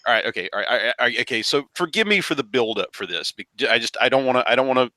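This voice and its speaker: A man's voice: mid-range voice, lively intonation, very fast, pushed and bright, dry recording. A man giving his take as a panelist in a casual movie discussion, hedging and stammering into his points. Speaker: podcast panelist. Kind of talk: casual chat, movie review.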